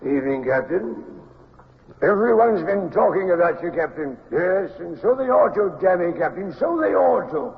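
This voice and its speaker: A pompous dignitary in an old radio play, declaiming in a high-pitched voice. The sound is dull, like a vintage broadcast. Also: male voice, very animated, moderate pace, creaky, heavily acted. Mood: bluff, pompous. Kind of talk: old-time radio acting.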